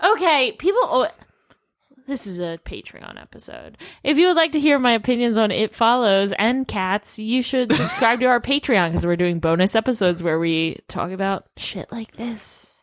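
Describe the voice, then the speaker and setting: Animated woman hosting a comedy podcast. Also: a little high, moderate pace, dry recording, studio quality. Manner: playful, profane. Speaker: podcast host. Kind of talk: casual comedic banter.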